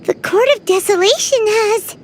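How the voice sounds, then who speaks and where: A woman voicing a small, earnest, excitable childlike fairy companion in a video game. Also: extremely high pitch, moderate pace, a little hiss or hum. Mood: alarmed. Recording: echoey reverb, loud music behind.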